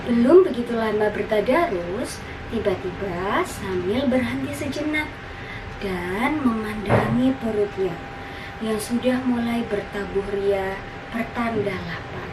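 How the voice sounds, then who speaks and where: Slow, high-voiced woman storyteller. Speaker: children's storyteller. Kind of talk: expressive scripted story narration for children. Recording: roomy, distant, noisy recording.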